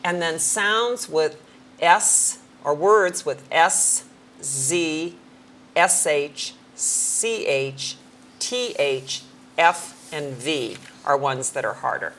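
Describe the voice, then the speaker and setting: A middle-aged woman with a fairly low voice talks through practical hearing tips in a friendly, down-to-earth way. She sometimes slows right down to show how to speak clearly. Faint noise sits under the recording. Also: expressive, fairly bright tone. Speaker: hearing care professional, guest. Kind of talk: conversational advice on a talk show.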